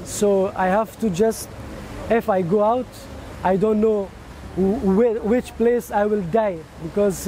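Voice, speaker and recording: A man in his twenties from Afghanistan, with an Afghan accent, giving earnest testimony and halting as he searches for words. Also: sits high, mellow, rough recording. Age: 20s. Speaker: interviewee at a press conference. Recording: clear outdoor ambient noise.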